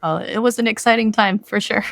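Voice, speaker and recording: A woman's voice: on the high side, steady tone, fairly quick, vocal fry, fairly bright tone, no room sound. A woman explaining her creative work as a guest in a casual interview.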